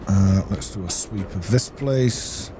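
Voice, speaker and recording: A man's voice: sits low, takes it slowly, background noise. A man giving casual gameplay commentary, musing and thinking aloud to himself. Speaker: gamer, let's play host.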